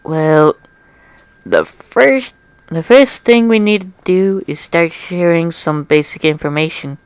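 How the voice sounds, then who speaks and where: A man puts on a bookish young mare from a storybook, polite and full of questions. The voice is lightly raised in pitch and smooth, with a dry, close sound. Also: slow pace, no room sound. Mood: inquisitive. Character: inquisitive, polite young female pony, bookish. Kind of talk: amateur dramatic story reading.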